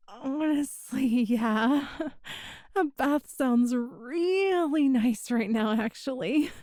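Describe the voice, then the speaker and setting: A woman's voice: sits high, no echo, studio quality, exaggerated voice. A woman voicing a shy meowing catgirl thief. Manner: soft, hesitant, stammering, meows between phrases. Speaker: voice actor. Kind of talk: ASMR audio roleplay.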